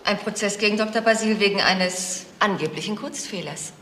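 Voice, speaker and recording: A woman playing a senior night nurse in a crime radio play, frank and a little hesitant, talking in a thoughtful, halting way. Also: mid-range voice, slight background noise, natural voice. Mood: explaining, frank. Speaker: actor. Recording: loud music behind the voice.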